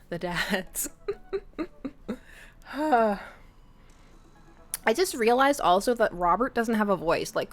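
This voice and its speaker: A young woman streaming a dating game, chatting with viewers, laughing often and reading the game text aloud. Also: medium pitch. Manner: giggly, laughs often between lines; chatty, asks viewers questions. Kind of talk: casual let's-play chat, reading game text aloud.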